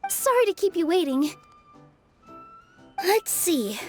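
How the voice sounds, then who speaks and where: A woman's voice: extremely high pitch, sing-song, takes it slowly, darkish tone, faint music behind, full character voice. A woman voicing a playful, theatrical young woman who works fairy-tale magic in a video game, prone to dramatic declarations. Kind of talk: video game cutscene voice acting.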